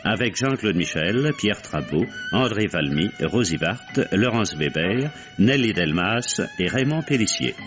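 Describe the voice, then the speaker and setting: A man's voice: on the low side, big pitch swings, unhurried, hardly any pauses. A man formally and dramatically announcing the credits of a vintage radio drama. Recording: dull vintage radio, loud music. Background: loud music.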